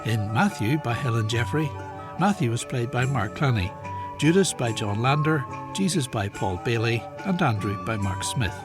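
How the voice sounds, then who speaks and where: A man's voice: medium pitch, animated, normal speed. A man reading scripted closing credits as a radio announcer. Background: loud music.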